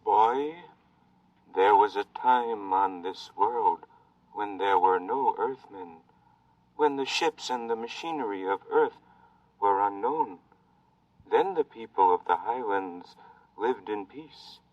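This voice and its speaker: A man playing a weary, thin Martian exile in an old radio drama, speaking formally and haltingly in a language not his own. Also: on the low side, darkish tone. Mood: solemn, mournful. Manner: slow, formal, halting speech in a learned tongue. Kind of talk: mid-century American radio drama acting. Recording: muffled old radio recording, distant, echoing.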